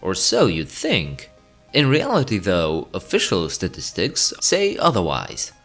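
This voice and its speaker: A man's voice: sits low, huge pitch swings, measured pace, creaky, fairly bright tone, soft background music. A man narrating an educational video from a script, breaking into chatty asides to the viewer between facts. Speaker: channel host.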